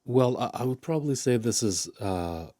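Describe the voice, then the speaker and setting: A man answering interview questions as a media analyst, halting with frequent restarts and fillers. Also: medium pitch, animated, mellow, dry recording, studio quality. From Iran.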